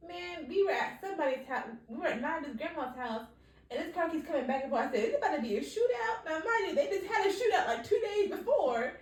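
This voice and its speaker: A young woman chatting casually as a podcast co-host, animated and laughing often as she acts out quotes. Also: very high voice, fast talker, recorded from a distance. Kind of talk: casual chat. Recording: roomy, from off-mic.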